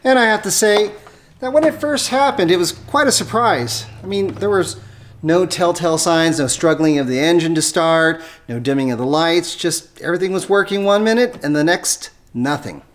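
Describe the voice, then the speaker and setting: An American how-to host with a mid-pitched voice, explaining a hands-on job step by step in a casual, conversational way. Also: lively intonation, moderate pace. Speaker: host of an automotive how-to channel. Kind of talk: casual how-to tutorial narration.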